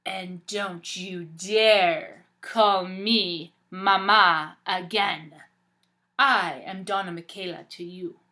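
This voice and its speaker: A woman's voice: a little high, very animated, measured pace, pushed and bright, voice pushed up, full character voice. A woman reading aloud and voicing a cold, haughty stepmother who gives icy commands in a storybook.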